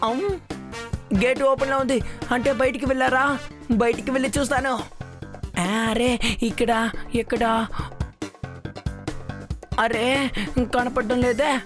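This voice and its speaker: A performer voicing an excitable, bossy young cat in a children's cartoon, exclaiming with urgent impatience. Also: medium pitch, takes it slowly, pauses often, music in the background, close to an everyday voice. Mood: searching, puzzled. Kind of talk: animated children's cartoon dubbing.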